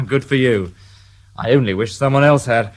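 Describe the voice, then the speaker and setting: An actor playing a weary, dry-witted police detective inspector in a radio drama. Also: male voice, mid-range voice, moderate pace, close to an everyday voice. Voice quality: dry, understated, wry.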